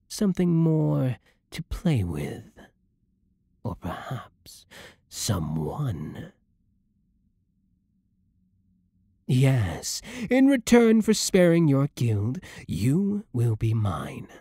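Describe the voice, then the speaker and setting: Guy doing a sadistic vampire lord asmr, husky n breathy, super dry close sound, theatrical and menacing, seductive. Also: medium pitch, huge pitch swings, warm tone, no echo, exaggerated voice. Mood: seductive, possessive. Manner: slow, theatrical, silky condescension with dropping murmurs. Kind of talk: ASMR roleplay, scripted monologue to the listener.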